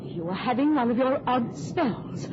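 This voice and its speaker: An actress playing a cold, domineering murderess in an old-time radio drama. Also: female voice, a little high, lively intonation, measured pace. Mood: cold, defensive. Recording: noisy, muffled old radio recording.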